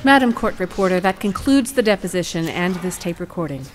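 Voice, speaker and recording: A woman playing a determined attorney in an audio courtroom drama, speaking formally and deliberately. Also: lowish voice, lively intonation, slight background noise, lightly acted. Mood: formal, assured. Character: poised, determined female attorney arguing in court.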